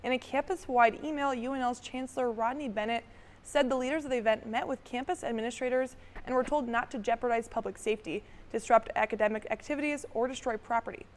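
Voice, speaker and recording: A woman's voice: on the high side, fairly even intonation, fast talker, slight background noise. Young woman anchor in reverberant studio. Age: young adult. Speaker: student news anchor. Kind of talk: scripted newscast reading.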